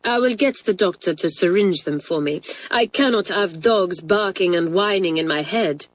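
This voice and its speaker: A woman voicing an excitable, stern middle-aged female French schoolteacher in a storybook, emphatic and impatient. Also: fairly low pitch, normal speed, dry recording, lightly acted. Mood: puzzled.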